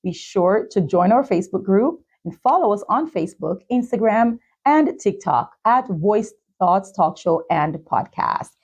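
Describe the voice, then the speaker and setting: Husky-voiced woman hosting a talk show. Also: medium pitch, expressive, unhurried, few pauses, darkish tone. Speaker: talk show and podcast host. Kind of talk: scripted intro and sponsor reads, then supportive interviewing.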